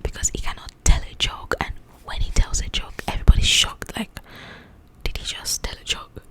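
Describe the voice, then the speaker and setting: A woman whispering in an ASMR style as she casually chats about a book in a rambling, animated, informal way. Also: moderate pace. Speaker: ASMR creator reviewing a book.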